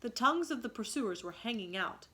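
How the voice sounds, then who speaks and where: Bright-voiced woman reading a story expressively. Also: on the high side, a little fast. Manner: dramatic, expressive. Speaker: read-aloud teacher.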